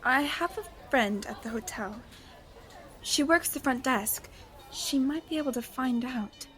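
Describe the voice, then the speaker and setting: An actress playing an anxious, principled young heroine in an audio drama, hesitant and cautious. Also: female voice, high voice, crowd noise, rough recording. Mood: hesitant, reasoning. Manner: hesitant, halting.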